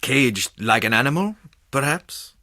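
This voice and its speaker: An actor playing a menacing, brooding villain in a video game, with a rough voice and a deliberate, ominous delivery. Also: male voice, medium pitch, lively intonation, bright, forceful tone, no echo, clean studio sound, full character voice. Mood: brooding menace. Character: menacing caged male villain, brooding and predatory.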